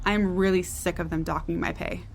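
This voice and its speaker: A woman's voice: on the low side, steady tone, moderate pace, creaky, slight background noise. A woman playing a weary, blunt spaceship technician in a naturalistic science fiction audio drama. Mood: tired, guarded.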